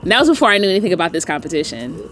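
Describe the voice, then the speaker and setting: A woman's voice: fairly low pitch, sing-song, racing, a bit bright. A young woman from North Carolina with a Northeastern accent and Southern phrasing, chatting enthusiastically on a podcast with many ums. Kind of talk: casual podcast chat.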